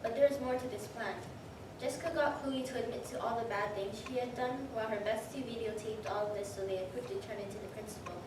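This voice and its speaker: A woman's voice: on the high side, steady tone, fast, background noise. Woman, story narrator, scripted stage reading, distant mic, strong room echo.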